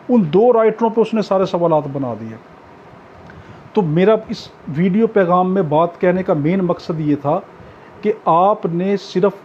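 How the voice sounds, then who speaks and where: High-voiced Pakistani man lecturing, muffled recording. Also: warm tone. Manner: instructive, repeats key points for emphasis. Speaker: law exam tutor. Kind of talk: informal lecture to students. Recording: dull lo-fi audio with background noise.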